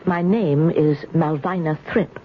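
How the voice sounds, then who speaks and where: A woman's voice: low voice, a little slow. An actress playing a nervous, timid and polite woman homeowner in a radio mystery, hesitant and flustered. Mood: uneasy, reluctant. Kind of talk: 1970s radio mystery drama acting. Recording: muffled, noisy 1970s radio broadcast.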